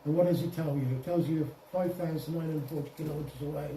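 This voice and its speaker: A man giving an informal software demonstration to a group, explaining step by step with some hesitation and self-correction. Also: on the high side, fairly even intonation, fast. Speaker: presenter at an amateur radio club. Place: indoor club meeting room. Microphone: distant room microphone. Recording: echoey room, distant mic, faint noise.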